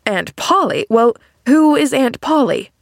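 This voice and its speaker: A woman voicing a grumpy, bitter man in an audiobook, speaking in a gruff, testy and sarcastic way. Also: high voice, animated, measured pace, dry recording, clean studio sound, voice pushed up, exaggerated voice. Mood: baffled, sharp. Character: grumpy, sardonic, bedridden man with a bitter temper. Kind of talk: audiobook character dialogue.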